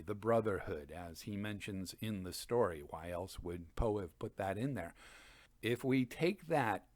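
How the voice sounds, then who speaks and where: A man hosting a reading series, giving conversational literary commentary with expressive, emphatic phrasing. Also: medium pitch, no room sound. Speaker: host and dramatic reader.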